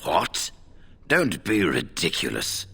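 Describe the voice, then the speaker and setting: An actor playing a weary, grumbling but dignified old head mage in a video game, gravelly and breathy at the ends of phrases. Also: male voice, low-pitched, wildly swooping pitch, slow pace, sharp tone, full character voice. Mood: resolute. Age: older. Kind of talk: dramatic video game acting.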